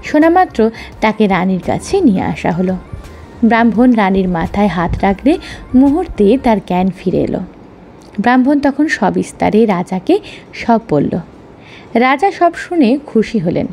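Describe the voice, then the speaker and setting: A woman's voice: medium pitch, sing-song, a little slow. A woman narrating a scripted children's story as a storyteller. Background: soft background music.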